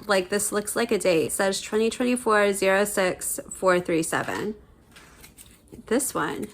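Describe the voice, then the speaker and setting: A woman's voice: medium pitch, a bit bright. A young woman with a slightly scratchy voice chatting casually while she crochets for her video, rambling with lots of fillers. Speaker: crochet vlogger. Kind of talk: casual chat.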